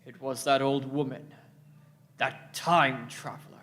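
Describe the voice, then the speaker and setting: A man's voice: medium pitch, little pitch movement, a little slow, fairly bright tone, close to an everyday voice. A man playing a scheming, defensive politician in a sci-fi radio play, speaking in emphatic, self-justifying phrases. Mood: desperate, blaming. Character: scheming, defensive male politician turned desperate ally.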